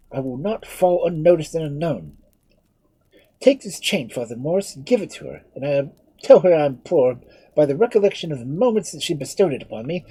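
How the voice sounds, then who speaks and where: A desperate, defiant young nobleman , acted by a man reading aloud, declaims loudly in a raised, swooping voice. The tone is breathy and mellow, with theatrical passion throughout. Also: fairly high pitch, dry recording, voice pushed up, low-quality recording. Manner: loud, declamatory and impassioned.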